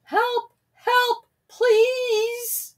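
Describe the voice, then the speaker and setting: A woman voicing an excitable shepherd boy in a storybook, shouting urgently for help. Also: very high-pitched, drawn-out words, pitched above the performer's own voice, heavily acted. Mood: frantic, urgent.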